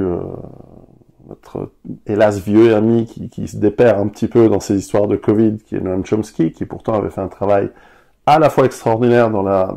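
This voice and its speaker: Guy musing as a guest, deep-ish and gravelly. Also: dark tone. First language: Italian. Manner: reflective, thinking aloud. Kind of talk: conversational interview.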